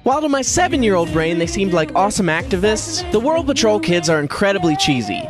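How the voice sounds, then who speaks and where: A man's voice: high-pitched, sing-song, a little fast, over music. A man delivering a scripted comedic review in a sarcastic way, with dry punchlines. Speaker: list video reviewer.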